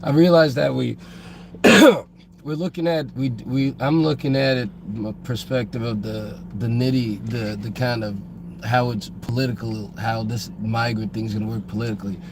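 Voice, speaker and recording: A man with a cold co-hosting a casual podcast, his voice hoarse and gravelly. He talks haltingly, stumbling and restarting his sentences, over steady background noise. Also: mid-range voice. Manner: halting, many restarts and repeated words. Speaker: podcast co-host. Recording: noisy background.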